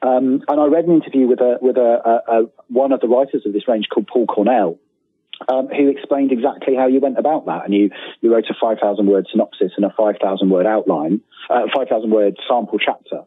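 A British man in his forties talks casually as an interview guest, with a husky edge to his voice. He rambles a little, and the call sounds narrow and phone-like, very dry. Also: medium pitch, a little fast, dry recording. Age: 40s. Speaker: guest author. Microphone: remote call.

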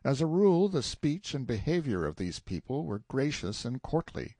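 A man narrating a literary novel as an audiobook, with a measured, expressive storytelling delivery and a slightly husky voice. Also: medium pitch, no room sound, clean studio sound.

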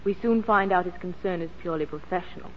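A woman's voice: fairly low pitch, fast, natural voice. An actress plays a breezy, gossipy woman of the world. She is chatty and flippant in 1940s radio drama style. The broadcast has a long reverb, dull tone and faint noise. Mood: teasing, cynical. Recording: dull, noisy, echoey vintage radio broadcast.

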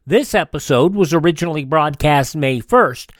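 A man hosts a radio program from a script. His voice sits a little high, and the sound is close and dry. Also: animated, normal speed, no echo. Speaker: radio show host.

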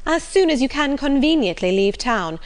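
A woman's voice: sits high, very animated, normal speed, pitched above the performer's own voice. A woman voicing a proper, polite society lady with a reproving, formal way of talking in a storybook. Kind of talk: acted storybook dialogue.

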